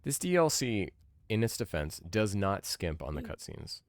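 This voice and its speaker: A man's voice: lowish voice, big pitch swings, moderate pace, dry recording. A man in his thirties giving casual, conversational gameplay commentary as a streamer, thinking aloud into a close mic. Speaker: game streamer, podcast host.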